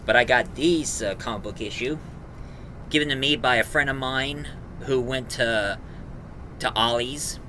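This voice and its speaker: A man giving a casual, rambling review in a halting, enthusiastic fan manner. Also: medium pitch, bright, forceful tone, slight background noise. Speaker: reviewer, host.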